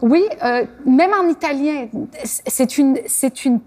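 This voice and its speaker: A woman guest on an interview, speaking in a slightly high voice. She tells stories thoughtfully, pausing and searching for words as she reflects. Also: a crowd in the background.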